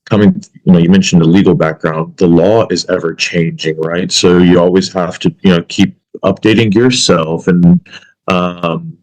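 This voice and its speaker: Man, 30s, first language Arabic, very low voice, reflective, lots of fillers, podcast guest. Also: fairly even intonation, moderate pace, a little mellow, poor audio. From United States. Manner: reflective, many fillers and restarts. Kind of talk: casual interview chat.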